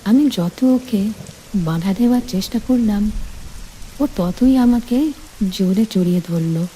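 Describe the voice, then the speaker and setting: Slow, mellow woman narrating. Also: mid-range voice, slight background noise. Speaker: storyteller. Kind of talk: scripted first-person romantic story narration.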